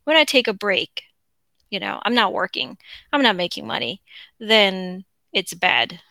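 Korean-accented woman, solo podcast host, rambling and bright, close and dry. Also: medium pitch, very animated, measured pace, gravelly, no echo. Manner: rambling, chatty, frequent fillers and restarts. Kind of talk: casual unscripted monologue.

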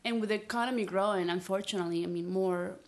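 A woman's voice: mid-range voice, quick pace. Chatty woman. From Peru. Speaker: interview guest. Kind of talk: casual chat.

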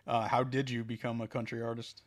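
Podcast host guy, pretty monotone, casual and kinda halting. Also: medium pitch. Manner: casual, halting, with fillers and restarts. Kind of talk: casual interview chat.

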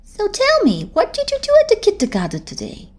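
Kind nine-year-old girl, reassuring, high and animated; woman reader voicing her. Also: a little fast, full character voice. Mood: explaining. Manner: reassuring, explaining.

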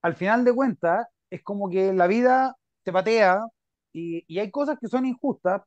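Chilean male podcast host, raised pitch, relaxed talker, muffled dry audio. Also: normal speed, no room sound. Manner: relaxed, chatty, talks about himself. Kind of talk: casual interview chat. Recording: dull dry sound.

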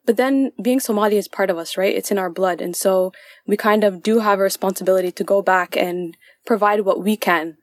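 A woman's voice: medium pitch, fairly quick, no room sound. Explanatory young woman, dry close sound. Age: young adult. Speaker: podcast panelist. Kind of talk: casual discussion.

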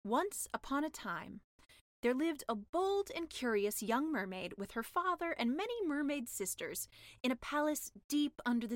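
A woman's voice: fairly high pitch, dry recording, studio quality. A woman author reading her own comic fairy tale as scripted storybook narration.

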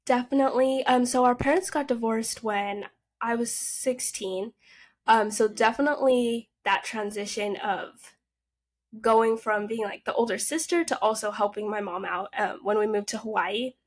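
A woman's voice: a little high, moderate pace. A nineteen-year-old woman raised in the United States, a podcast guest telling upbeat stories about her family. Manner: upbeat, chatty storytelling. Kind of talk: casual interview.

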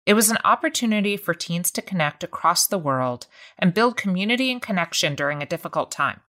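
Woman producer narrating, lowish voice, steady and measured. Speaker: executive producer presenting a segment. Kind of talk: scripted narration.

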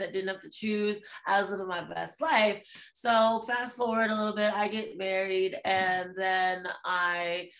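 A woman guest on a podcast, telling her story casually, with many fillers and a relaxed, chatty way of talking. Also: medium pitch. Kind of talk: casual interview chat.

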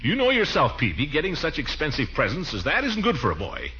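An actor playing a pompous, blustery, soft-hearted middle-aged uncle in a 1940s radio comedy. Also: male voice, on the high side, wildly swooping pitch, brisk, loud music behind the voice. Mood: worried, indignant. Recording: muffled 1940s radio recording.

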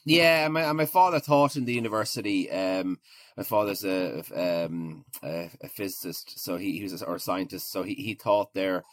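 An Irish man in his forties talking casually as an interview guest, halting and often repeating his words. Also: deep voice, fairly bright tone, no room sound. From Ireland. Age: 40s.

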